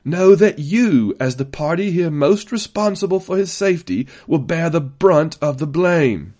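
Man performing a gaunt zealot inquisitor, imperious, intense, drawn-out emphatic words, sci-fi audiobook villain. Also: medium pitch, expressive, a little mellow. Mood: threatening.

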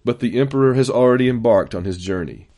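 A man voicing a composed general in an audiobook, measured and mock-innocent with exaggerated politeness. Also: mid-range voice, warm tone, lightly acted. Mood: formally regretful.

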